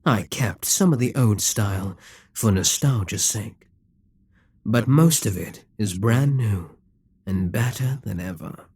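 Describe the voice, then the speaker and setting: A man's voice: lowish voice, lively intonation, takes it slowly, darkish tone. A man voicing a possessive, scheming male demon king in an audio roleplay, speaking in a mocking, menacing way. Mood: gloating.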